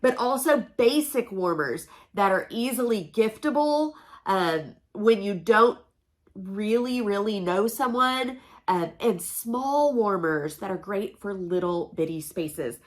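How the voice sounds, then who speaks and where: Bright-voiced chatty woman with allergies. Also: medium pitch. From Oklahoma, USA. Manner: chatty, upbeat, folksy. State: congested from allergies. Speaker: direct-sales consultant and channel host. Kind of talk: casual product unboxing vlog. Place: home room with a noisy air conditioner.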